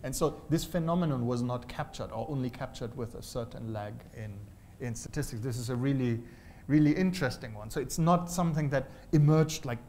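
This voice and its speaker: A man's voice: mid-range voice, a little mellow, a little hiss or hum. A man, an economist, answering questions at a conference panel, thoughtful and restarting his phrases often. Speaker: economist presenting a report. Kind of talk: panel discussion remarks. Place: conference hall.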